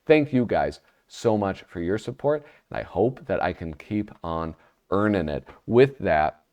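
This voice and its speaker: A man's voice: deep voice, animated, a little slow, darkish tone. A man hosting his own magazine review channel, chatty and self-deprecating, sounding nasal from a cold. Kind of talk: casual solo review vlog.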